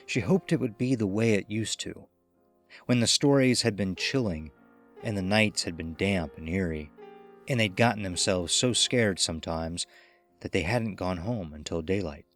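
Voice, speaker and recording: A man's voice: sits low, moderate pace, soft background music. A man reading a scripted horror story as its narrator. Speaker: audiobook narrator.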